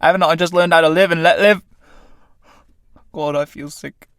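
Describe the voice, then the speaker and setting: A man playing a battered tramp in a stage-play reading, with rough colloquial speech and a pitying, defiant temper. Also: fairly high pitch, steady tone, moderate pace, fairly bright tone, dry recording, clean studio sound. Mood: desperate, defiant.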